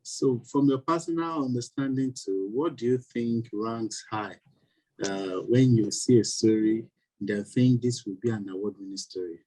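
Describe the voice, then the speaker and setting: A man's voice: mid-range voice, normal speed, mellow, rough recording. Hesitant male webinar moderator. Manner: hesitant questions with fillers. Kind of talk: moderating a panel.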